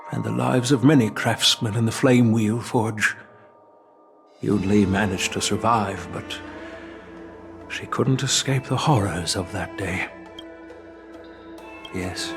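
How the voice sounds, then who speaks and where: Older grandfather, game character, measured, earnest, grave, medium pitch, background music. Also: fairly bright tone. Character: earnest, solemn grandfather worried for his granddaughter. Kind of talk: dramatic English game voice acting.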